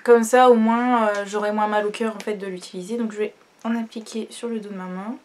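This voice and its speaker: A young woman with a stuffy nose from a cold, chatting casually through a makeup tutorial with many fillers and trailing off quietly. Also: mid-range voice, steady tone, brisk. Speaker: beauty vlogger.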